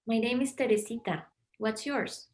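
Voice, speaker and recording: A bold, caring girl who speaks plainly and kindly, as a woman reads her at a table read. The voice sits fairly high, with faint music underneath. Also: moderate pace, a little mellow, natural voice. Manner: direct and friendly.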